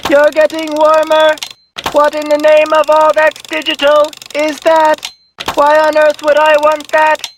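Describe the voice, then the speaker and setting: A woman's voice: sits high, monotone, measured pace, slight background noise. A woman voicing a literal-minded, officious memory robot in a video game, speaking in formal, stilted phrases. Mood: robotic, officious. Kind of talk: video game character voice lines.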